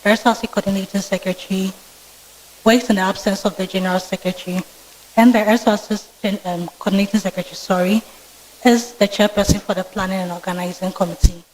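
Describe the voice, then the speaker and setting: A soft-spoken young woman in her twenties with a Ghanaian English accent, giving polite, formal answers as a student candidate in a vetting interview. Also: mid-range voice, fairly even intonation, quick pace, slight background noise, rough recording. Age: 20s. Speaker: student candidate being vetted. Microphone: microphone with feedback.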